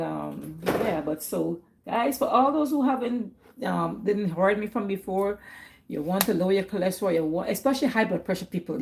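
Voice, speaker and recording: Gravelly Guyanese woman chatting casually. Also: medium pitch, a little mellow, a little hiss or hum. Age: middle-aged. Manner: chatty, repeats herself, laughs often. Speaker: livestream host. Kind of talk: casual livestream chat.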